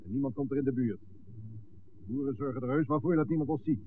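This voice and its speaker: An actor playing a cold, commanding crime boss in a curt, authoritative way in a 1960s radio drama. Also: male voice, medium pitch, a little fast, natural voice. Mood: stern, commanding. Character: cold, commanding male crime-gang boss, menacingly controlled. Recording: noisy, tinny 1960s Dutch radio recording.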